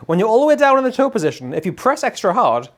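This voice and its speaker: A man in his thirties giving an excitable, informal gear demo as a guitar reviewer. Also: very high voice, fast. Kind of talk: enthusiastic casual product demo. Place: indoor guitar studio room. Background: electric guitar playing.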